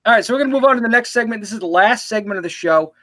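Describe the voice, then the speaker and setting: A man's voice: high voice, animated, rapid-fire, fairly bright tone, dry recording. A man in his late thirties hosting a casual livestream chat, joking and self-deprecating. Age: 30s. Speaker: livestream show host.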